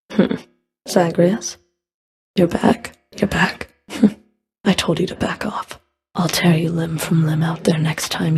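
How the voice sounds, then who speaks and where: A woman playing a stern, proud female Fury of the Greek underworld in a video game, speaking in a cool, clipped, disdainful way with a gravelly edge. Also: lowish voice, a little mellow, exaggerated voice. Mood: menacing, irritated. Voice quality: gravelly, creaky. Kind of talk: scripted video game character dialogue.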